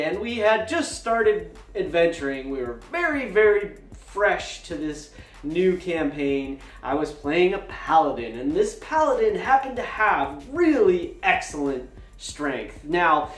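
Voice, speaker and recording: Male vlogger, 40s, casual storyteller, highly varied pitch, theatrical emphasis, roomy echoing recording. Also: animated, unhurried. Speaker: tabletop gaming vlogger. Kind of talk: casual personal storytelling to camera.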